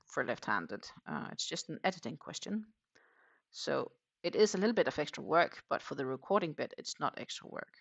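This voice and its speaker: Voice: mid-range voice, gravelly, sharp tone, dry recording. A crochet livestream host from Denmark with a Danish accent, chatting casually and answering viewer comments while working. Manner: thinks aloud, with many fillers and trailing sentences.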